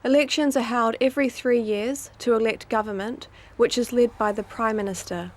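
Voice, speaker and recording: Higher-voiced woman reading civic facts. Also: fairly even intonation, moderate pace. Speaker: narrator. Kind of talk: scripted information narration.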